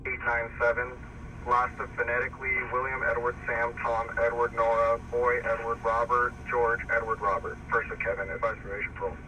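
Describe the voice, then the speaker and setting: A man's voice: medium pitch, completely flat delivery, moderate pace, lo-fi sound. A man on police radio, calling in details with phonetic spelling. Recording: distant noisy police scanner radio.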